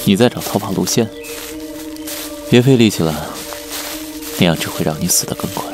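A man acting a calm, amused captor in a radio drama, softly taunting with faint menace. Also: deep voice, normal speed, over music. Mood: cool menace.

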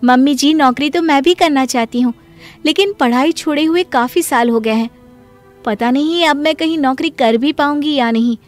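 Woman voicing a meek, very high-pitched young housewife. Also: moderate pace, soft background music, natural voice. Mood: earnest. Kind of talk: animated Hindi family story dialogue.

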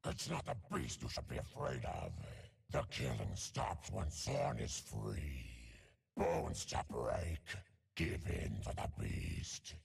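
Game casting: a bloodthirsty werewolf beast, harsh raspy growl, extremely slow and drawn out, menacing. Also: male voice, low-pitched, wildly swooping pitch, heavily acted. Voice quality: gravelly, rasping growl. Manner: terse snarled phrases, drawn out. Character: savage tormented male werewolf monster, bloodthirsty and menacing. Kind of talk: video game champion voice lines.

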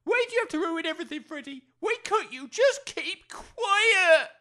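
A woman's voice: very high-pitched, expressive, moderate pace, pushed and bright, full character voice. A woman playing a harried, scolding village mother in an audio comedy drama, exasperated and colloquial. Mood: furious.

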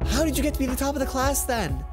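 A man's voice: very high voice, very animated, quick pace, over music, voice pushed up. A man voicing a mean teenage schoolgirl bully in a visual novel game, sneering and accusing.

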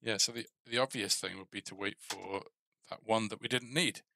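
A middle-aged man gives casual coding commentary, muttering to himself. His voice is lowish, very sharp and creaky, close on a headset. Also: normal speed, no room sound. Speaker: game developer streaming his coding. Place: indoor desk. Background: keyboard typing, clicks, faint music.

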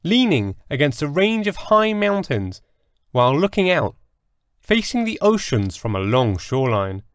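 A man narrating a fairy tale for an audiobook in a measured storybook delivery with deliberate pauses. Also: a little high, sing-song, clean tone, no room sound, clean studio sound.

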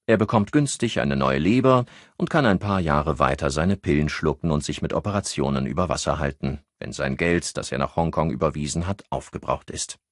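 A man's voice: extremely low pitch, fairly quick, dry recording. A man voicing a cynical male colleague who speaks with dry sarcasm. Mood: sarcastic.

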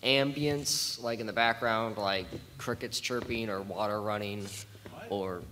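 A man with a slightly low voice talks casually on a panel. The hall echoes around him, with faint room noise behind. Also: unhurried, pushed and bright. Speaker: panelist, sound designer. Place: large convention hall, panel table.

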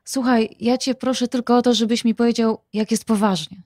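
Actress as desperate wife, higher voice, dry sound. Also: female voice, fairly even intonation, brisk, darkish tone, no echo, lightly acted. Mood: pleading. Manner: curt, exasperated. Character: desperate, irritated wife confronting a former lover. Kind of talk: radio drama dialogue.

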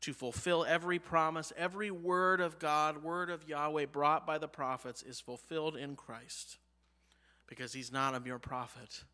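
A man in his thirties with an American accent, preaching a sermon from a church pulpit. He lifts his voice on key phrases and lets line endings fall low and soft. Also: steady tone, normal speed. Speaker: pastor. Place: church sanctuary, behind a pulpit. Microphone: pulpit mic, medium-wide camera.

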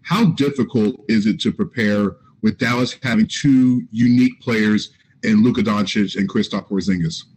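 A man working as a sports reporter asks his question in an announcer-like way. His voice sits low with a husky edge. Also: moderate pace, a little mellow, poor audio.

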